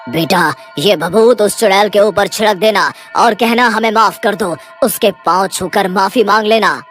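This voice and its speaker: A woman's voice: medium pitch, a little slow, fairly bright tone, faint music behind, lightly acted. A woman voicing a solemn, fatherly tantrik holy man in a cartoon story, grave and instructive. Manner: grave, instructive, addresses others as child.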